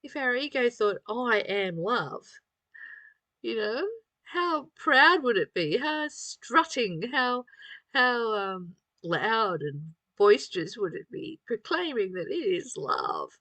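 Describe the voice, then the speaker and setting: Female spiritual reader and teacher, unhurried and reflective, mid pitch, close dry sound. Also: a bit bright, dry recording. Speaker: spiritual study teacher reading aloud and commenting. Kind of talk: reflective spiritual reading with informal commentary.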